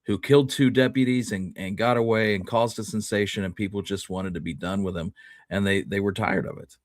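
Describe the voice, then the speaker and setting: Lowish-voiced man explaining history casually. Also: fairly even intonation, brisk, dry recording. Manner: conversational, explanatory. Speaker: podcast co-host.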